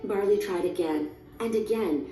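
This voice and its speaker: A woman's voice: on the low side, moderate pace, mellow, echoey room, distant mic, low-quality recording. A woman reading a scripted children's story as a storybook narrator. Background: music.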